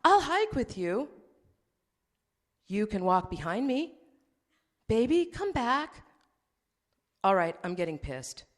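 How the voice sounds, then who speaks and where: A woman's voice: mid-range voice, very animated, takes it slowly, long pauses. A woman voicing a crude, needy man who swings between whining pleas and snarling insults. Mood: taunting. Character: crude, needy, abusive man texting his date. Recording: long hall reverb.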